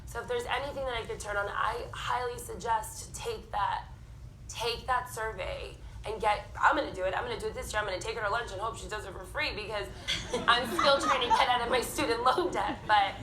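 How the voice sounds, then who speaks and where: A woman in her thirties telling her personal story candidly on a theatre stage, animated and frank. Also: medium pitch, reverberant, slight background noise, low-quality recording. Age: 30s. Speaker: panel speaker.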